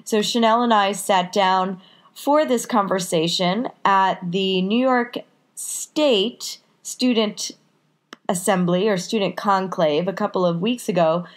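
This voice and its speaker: A woman's voice: medium pitch, slow pace. A woman hosting a podcast, stuffed up with a cold, chatty and upbeat as she introduces the episode. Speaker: podcast host. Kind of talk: casual podcast intro and interview.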